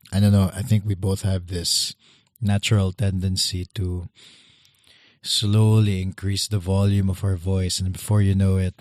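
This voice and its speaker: Man in his thirties, hoarse and soft-spoken, low voice, dry close mic, hesitant solo podcast host. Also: no room sound. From Philippines. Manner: quiet, hesitant, many restarts. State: losing his voice, speaking softly. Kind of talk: casual solo podcast intro.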